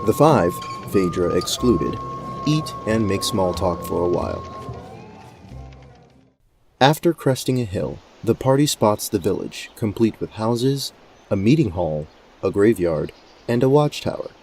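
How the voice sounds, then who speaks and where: A man's voice: fairly low pitch, sing-song, measured pace, a little mellow, faint music behind. A man narrating a fantasy adventure as game master in a scripted storytelling style.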